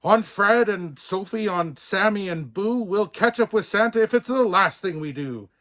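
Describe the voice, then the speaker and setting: A man voicing a big, gruff, determined bear postmaster in a children's storybook, roaring out bossy, emphatic commands. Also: sits high, lively intonation, moderate pace, a bit bright, pitched above the performer's own voice. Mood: rallying.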